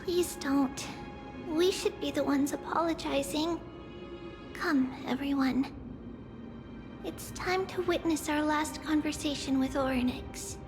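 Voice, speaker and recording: A woman voicing a solemn young girl guide in a video game, speaking earnestly and with measured care. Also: high-pitched. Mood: solemn, gentle. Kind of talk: scripted video game voice acting. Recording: long reverb under game music.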